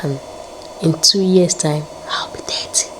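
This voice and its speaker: A woman's voice: low voice, unhurried, whispered, rough recording. A woman in her late twenties vlogging in a casual, upbeat way, repeating words and adding prayerful asides. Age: 20s. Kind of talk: casual faith-filled vlog talk.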